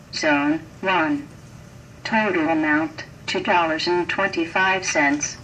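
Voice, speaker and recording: Bright robotic female ticket machine voice. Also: fairly low pitch. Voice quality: synthetic, robotic. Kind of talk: scripted automated audio instructions. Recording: noisy distant machine speaker.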